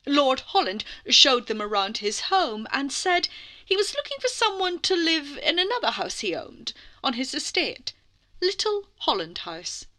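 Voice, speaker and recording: Bright-voiced woman telling a bedtime story. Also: sits high, measured pace. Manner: expressive, lingering, dramatic phrasing with soft hushed passages. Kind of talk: scripted children's bedtime story narration.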